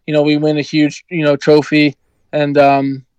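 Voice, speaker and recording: A man's voice: fairly high pitch, monotone, moderate pace, a little mellow, clean tone, no room sound, muffled recording. A young man from New Jersey, a hockey player answering interview questions in an easygoing way, with many ums and you-knows. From New Jersey, USA.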